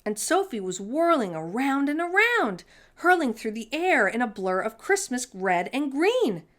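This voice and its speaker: A woman reading a children's picture book aloud in an expressive storytime narration style. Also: sits high, a little slow.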